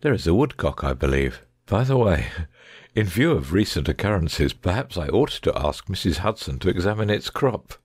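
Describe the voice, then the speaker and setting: A man playing a shrewd, composed gentleman detective in a dramatic audiobook reading, precise and measured, with quiet authority. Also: sits low, big pitch swings, slightly rough, no echo, exaggerated voice. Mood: composed, genial.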